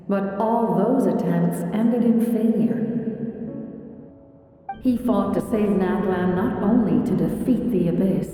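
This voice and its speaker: Ancient game spirit, very dark female voice, grave and detached, long cavernous reverb. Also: medium pitch. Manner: measured, grave, detached explaining. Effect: cavernous echo. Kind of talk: dramatic video game acting. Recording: long echo, faint music behind.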